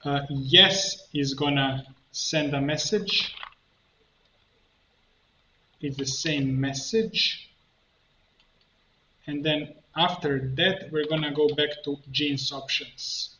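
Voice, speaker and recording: A man narrating a step-by-step software tutorial, thinking aloud in a halting way as he works. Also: fairly high pitch, steady tone. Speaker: tutorial instructor, coach. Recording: muffled, roomy, faint noise, keyboard clicks. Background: computer keyboard typing and clicking.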